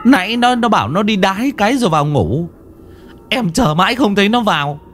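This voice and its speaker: A man's voice: high-pitched, expressive, a little fast, fairly bright tone, soft background music, pitched above the performer's own voice. A man voicing a panicked young village husband, pleading for help.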